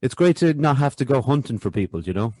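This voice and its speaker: A man's voice: mid-range voice, expressive, quick pace, a little mellow, no room sound. A man hosting a podcast, easygoing and chatty. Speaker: podcast host. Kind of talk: casual interview chat.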